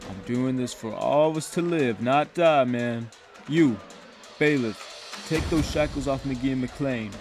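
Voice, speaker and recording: A man's voice: mid-range voice, unhurried, natural voice. An actor playing a determined young male hostage-taker with a gun in an audio drama. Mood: defiant. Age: young adult. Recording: music clearly behind the voice.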